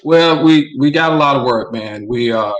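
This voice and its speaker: A man from Mississippi, a guest author and filmmaker, giving steady, matter-of-fact updates in casual chat. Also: medium pitch, normal speed, dull audio.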